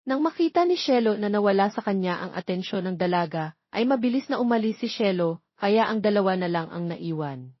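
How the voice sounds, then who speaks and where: A woman reads a dramatic story as its narrator, at a medium pitch. The recording is close and dry, with a slightly dull top end. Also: animated, brisk, dry recording.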